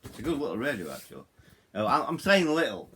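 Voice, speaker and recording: A middle-aged or older man with a Yorkshire accent gives a casual, unscripted product review, haltingly, often repeating words and phrases. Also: mid-range voice, wildly swooping pitch, bright, forceful tone, slightly rough. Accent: Yorkshire English. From Yorkshire, England. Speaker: hobbyist video host reviewing radios.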